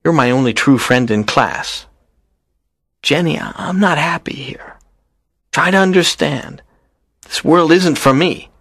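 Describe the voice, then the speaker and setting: A man's voice: on the high side, measured pace, pauses often, fairly bright tone, no room sound, clean studio sound. A man playing a lonely, earnest teenage schoolboy in a dramatised story, a pleading dreamer. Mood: unhappy, pleading.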